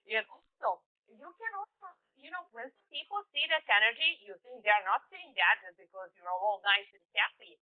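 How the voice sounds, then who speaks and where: A woman's voice: a little high, expressive, normal speed, few pauses. A woman interviewing a musician, hesitant, with frequent fillers and restarts. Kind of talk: conversational interview. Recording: tinny phone-line audio.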